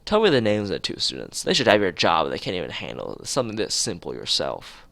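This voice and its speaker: A man's voice: sits low, wildly swooping pitch, fast talker, creaky, a bit bright, dry recording, exaggerated voice. A man voicing a bossy, accusing schoolgirl from a visual novel in an emphatic, scolding manner. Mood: indignant. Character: bossy, accusing schoolgirl speaking for student council.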